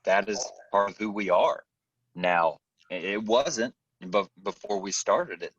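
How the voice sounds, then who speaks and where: A man's voice: fairly low pitch, measured pace, a bit bright, breathy edge, dry recording. A man hosting a podcast interview, rambling and hesitant as he searches for his words. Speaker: podcast host. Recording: dull, distant dry remote audio.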